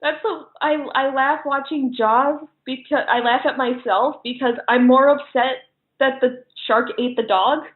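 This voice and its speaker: Giggly, high-voiced woman on a phone-like line. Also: steady tone, a little slow. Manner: giggly, laughs often while talking. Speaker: interview guest, horror filmmaker. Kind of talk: casual chat. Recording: thin, narrow phone-like line.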